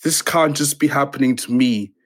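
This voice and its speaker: A man from Zimbabwe speaks slowly and thoughtfully as an interview guest. He often stops and restarts, circling back over his words while looking for the right phrase. His voice sits at an ordinary middle pitch. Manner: hesitant, halting, many restarts and fillers. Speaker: playwright guest. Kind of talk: reflective interview conversation.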